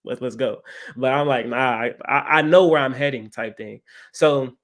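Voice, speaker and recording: Male, 20s, interview guest. Medium pitch, casual storyteller who keeps checking the listener follows. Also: normal speed, fairly bright tone. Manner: often checks in with "right?". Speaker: guest, credit content creator.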